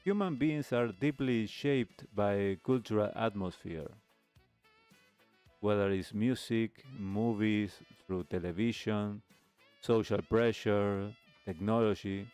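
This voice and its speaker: Male talk host, low register, very unhurried and deliberate, reflective monologue over a music bed. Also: darkish tone, clear, resonant tone. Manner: measured, deliberate phrasing with pauses. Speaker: radio show host.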